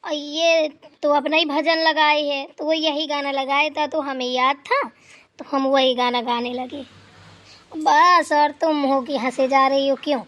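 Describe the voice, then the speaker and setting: A woman's voice: high voice, steady tone, unhurried, fairly bright tone. A woman voicing an elderly mother-in-law who rambles through stories and scolds playfully. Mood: chatty. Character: elderly mother-in-law, chatty, teasing, fond.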